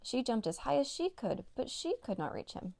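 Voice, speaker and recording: A woman's voice: a little high, big pitch swings, moderate pace, vocal fry, a little mellow, no room sound. A woman narrating a children's read-along story as a storyteller.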